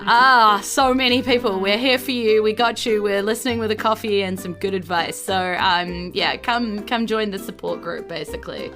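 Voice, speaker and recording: A woman's voice: mid-range voice, moderate pace, few pauses, a bit bright, faint music behind. A woman with a New Zealand accent hosting a podcast, chatting informally through announcements and thanks to supporters. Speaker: podcast host.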